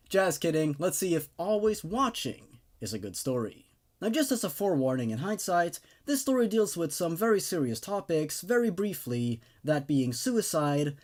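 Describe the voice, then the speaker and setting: A man hosting and narrating horror stories in a theatrical, expressive way, with casual asides. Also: on the high side. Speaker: host and narrator of a horror story reading show.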